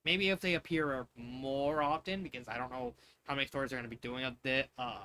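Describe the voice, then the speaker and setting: A man's voice: mid-range voice, fairly quick, sharp tone, a little hiss or hum. A man reading fan fiction and giving casual, rambling commentary, often repeating and restarting his phrases. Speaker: fan fiction reader and reviewer.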